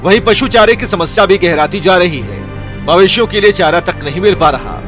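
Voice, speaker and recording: A man's voice: sits high, expressive, a little fast, over music. A man delivering scripted news narration as a voice-over reporter, formal in manner.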